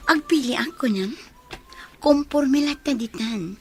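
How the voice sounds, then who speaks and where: Slow, high-voiced young woman played by an actress. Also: faint music behind. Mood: sweet, agreeable. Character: young woman visitor, impressed and covetous of a big house. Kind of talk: Ilocano radio comedy drama acting.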